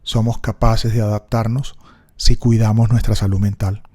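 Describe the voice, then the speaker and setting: A middle-aged Colombian man with a Colombian Spanish accent, telling a personal story in a thoughtful, unhurried reflective monologue. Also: on the low side, level tone, mellow. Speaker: speaker recording a personal reflection.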